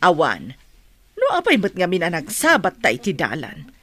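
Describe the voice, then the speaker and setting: A woman's voice: on the low side, huge pitch swings, normal speed, fairly bright tone, heavily acted. A woman with an Ilocano accent playing a haughty, touchy middle-aged mother, confrontational and indignant in a radio drama.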